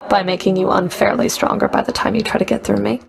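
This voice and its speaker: A stern Fury who enforces the rules of the underworld in a video game. Her mid-pitched voice carries a marked creak. She speaks in a cool, clipped manner with dry, understated sarcasm. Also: level tone, fast. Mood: grudgingly sincere. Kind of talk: video game character voice acting.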